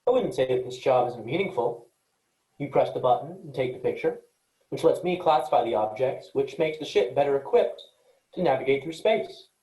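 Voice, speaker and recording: A man's voice: mid-range voice, expressive, normal speed, mellow, close to an everyday voice. A man playing an earnest, cautious, literal-minded space freighter worker, speaking precisely and matter-of-factly in a staged reading. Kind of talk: virtual staged reading of a sci-fi play. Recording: echoey room.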